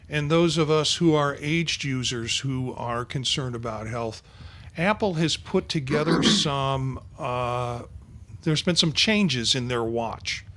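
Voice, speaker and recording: A man's voice: a little high, a bit bright. Rambling man in his sixties. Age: 60s. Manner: rambling, with many fillers. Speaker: podcast host. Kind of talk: casual panel chat.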